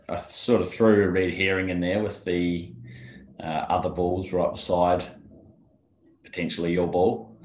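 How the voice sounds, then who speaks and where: A man's voice: deep voice, darkish tone, echoey room, a little hiss or hum. A man co-hosting a podcast as the golf rules expert, explaining rulings step by step. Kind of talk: casual chat.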